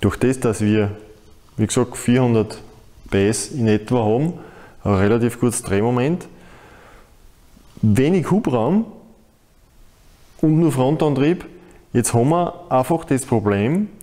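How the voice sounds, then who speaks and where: Mellow Austrian man presenting. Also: medium pitch. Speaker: motorsport presenter. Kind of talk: explanatory presenting to camera.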